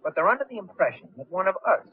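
Breezy young playboy gambler, quick, lively, flippant; thin 1930s film audio. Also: male voice, high voice, breathy edge, heavily acted. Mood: breezy, wry. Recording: muffled, tinny 1930s film soundtrack.

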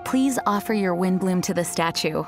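A woman playing a poised, earnest young knight leader in a video game, speaking in a formal, courteous, measured way. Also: on the low side, natural voice. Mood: formal, gracious. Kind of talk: video game character acting. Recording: music behind the voice. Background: game music.

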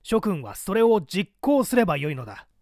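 A stern male underground agent acted out by a male reader issues formal, clipped orders in an audiobook drama. His voice is fairly high and moves widely in pitch, with an imperious, authoritarian edge. The sound is close and dry. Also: sing-song, moderate pace, darkish tone, no echo. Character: stern, commanding male secret-party courier in a mask.

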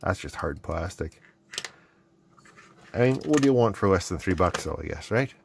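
Middle-aged man, low-pitched, casual rambling hobbyist commentary, muttered asides, noticeable background noise with clicks. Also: expressive, moderate pace, gravelly. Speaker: host of an electronics mailbag video. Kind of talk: casual unscripted bench commentary while unboxing and testing gadgets. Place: indoor electronics workbench.

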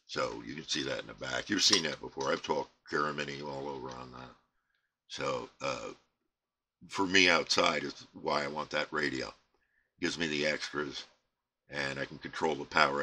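A middle-aged American man giving a casual, unscripted show-and-tell, rambling and halting as he trails off. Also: extremely low pitch, sharp tone. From United States. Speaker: CB radio hobbyist presenting his equipment. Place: indoor radio bench crowded with equipment. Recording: clear background noise, room ambience.

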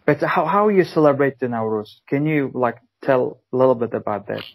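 A man from Uzbekistan with an Uzbek accent hosting a casual interview in halting English, often repeating his phrases. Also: medium pitch, animated, dry recording, lo-fi sound. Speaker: podcast host.

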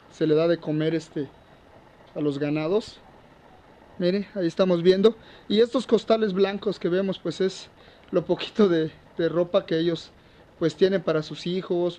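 A man's voice: a little high, dark tone. A man reporting on a walking tour of a barn shelter, talking with many fillers and sounding indignant. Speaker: reporter touring a refugee shelter. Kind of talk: walking tour commentary and interview. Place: wooden cattle barn indoors.

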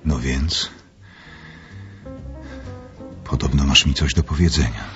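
An actor playing a resolute young gentleman in love, speaking formally and earnestly in a radio drama. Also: very deep voice, moderate pace. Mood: concerned. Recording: slightly dull audio with music underneath.

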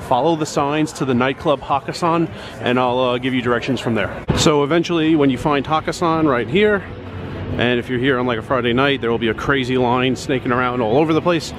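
A man's voice: medium pitch, fairly quick. A man in his thirties giving casual step-by-step walking directions, filmed on a handheld camera held at arm's length. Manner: plain, instructive, pointing the way while walking. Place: indoor casino halls and an outdoor sidewalk by a highway. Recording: traffic noise behind.

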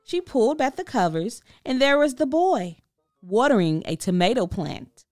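A woman reading a children's book aloud with expressive, deliberate storytelling. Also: on the high side, no echo, clean studio sound, soft background music. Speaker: storybook reader. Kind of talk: children's read-aloud narration.

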